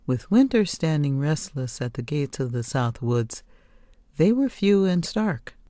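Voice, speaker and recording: A woman narrating a scripted story as an audiobook reader, expressive and deliberate. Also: sits low, dry recording, clean studio sound. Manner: deliberate, measured.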